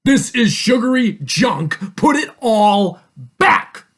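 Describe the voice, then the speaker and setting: A woman storyteller voicing an exasperated father who scolds in loud, emphatic, drawn-out words. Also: deep voice, steady tone, husky. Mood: dismayed scolding.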